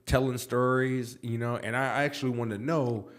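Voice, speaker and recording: A man's voice: mid-range voice, fairly even intonation, normal speed. A man in his forties hosting a podcast, chatting casually in a studio with a laid-back, slangy manner. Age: 40s. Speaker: podcast host.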